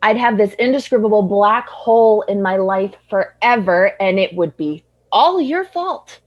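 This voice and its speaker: An actress in a radio drama playing a young woman's loyal best friend, speaking softly. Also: medium pitch, expressive, measured pace, muffled recording. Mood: affectionate. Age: young adult.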